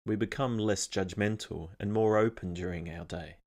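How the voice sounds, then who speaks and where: A man leading a scripted guided meditation in a calm, measured, instructional manner. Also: fairly low pitch.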